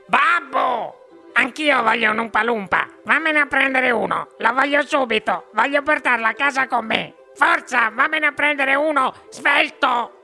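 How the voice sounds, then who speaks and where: A woman's voice: sits high, a little slow, vocal fry, pushed and bright, soft background music, voice pushed up, full character voice. A woman voicing a spoiled, demanding little rich girl in a storybook, whiny, insistent and imperious.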